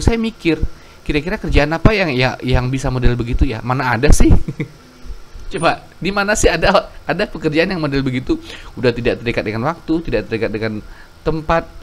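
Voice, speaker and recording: A man's voice: mid-range voice, a little hiss or hum. A man in his thirties, a YouTube storyteller reading out a viewer's letter and then telling his own story in a rambling, self-correcting way.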